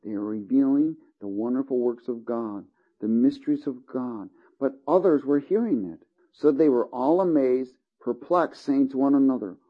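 A man's voice: medium pitch, highs cut off. A man preaching a sermon, reading scripture aloud and checking in with listeners as he teaches. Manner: earnest, calls out "Amen?" and "Hallelujah" to listeners.

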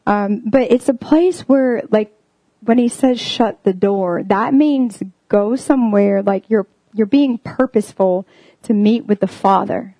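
Earnest young woman preaching, warm voice. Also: mid-range voice, unhurried, dry recording, poor audio. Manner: earnest, full of "like" and "um" fillers. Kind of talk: casual sermon.

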